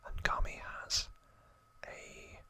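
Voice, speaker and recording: Slow, whispering man in ASMR style. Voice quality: breathy, unvoiced whisper. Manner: soft, unhurried, informal retelling. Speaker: ASMR video host. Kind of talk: whispered ASMR trivia narration.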